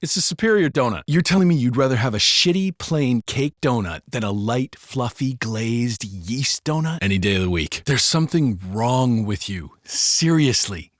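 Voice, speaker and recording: Lively, dry-sounding American cop, acted by a man. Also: medium pitch, normal speed, hardly any pauses, no echo, close to an everyday voice. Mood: bantering, argumentative. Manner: colloquial, sardonic. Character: gossiping, foul-mouthed American male beat cops. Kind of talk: casual scripted banter for a video game.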